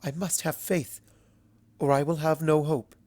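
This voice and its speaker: Refined, earnest unicorn mare, formal and measured, acted by a narrator. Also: medium pitch, fairly even intonation, a little mellow, dry recording, natural voice. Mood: grave, composed. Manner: formal, measured, deliberate speech. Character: refined, earnest female unicorn pony speaking solemnly. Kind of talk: dramatic fan fiction reading.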